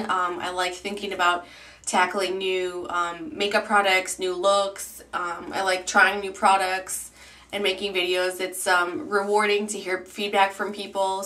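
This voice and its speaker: Bright-voiced chatty young woman vlogging. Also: fairly low pitch, far from the mic. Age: 20s. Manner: chatty, rambling with many ums and likes. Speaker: YouTube beauty vlogger. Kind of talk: casual vlog chat.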